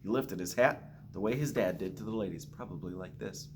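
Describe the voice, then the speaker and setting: A man's voice: sits low, a little fast, a little mellow, slight background noise. A man reading a children's storybook aloud expressively, with playful asides. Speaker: school staff member reading aloud to children.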